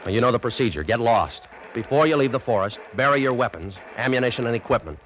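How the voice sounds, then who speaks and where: A man's voice: medium pitch, animated, fast, natural voice. A man acting a tough, weary American army lieutenant in a 1940s radio drama, clipped, commanding and hard-boiled. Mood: brisk, commanding. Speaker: lead actor and first-person narrator. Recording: noisy vintage radio recording.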